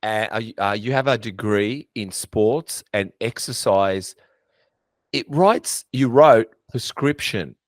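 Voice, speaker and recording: A man's voice: on the low side, slow pace, dry recording. A man hosting a podcast, prompting his guest with questions and dropping in jokes. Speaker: podcast host. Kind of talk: casual podcast interview.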